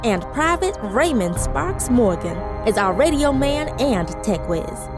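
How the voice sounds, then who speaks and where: An actress playing a commanding, wry 1940s spy who narrates an audio drama with confident, dramatic delivery. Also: female voice, fairly high pitch, sing-song, unhurried. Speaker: narrator and lead character. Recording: music under the voice.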